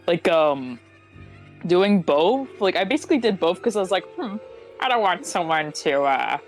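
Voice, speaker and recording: A woman, a game artist, chatting casually as a guest in a halting, self-deprecating way. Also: on the low side, sing-song. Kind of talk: casual chat. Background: background music.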